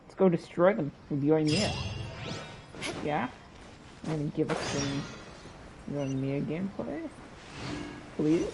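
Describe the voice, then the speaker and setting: A man's voice: fairly high pitch, animated, takes it slowly, pauses often, rough recording. A young man chatting casually as he streams live gameplay. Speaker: game streamer. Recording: game music behind the voice.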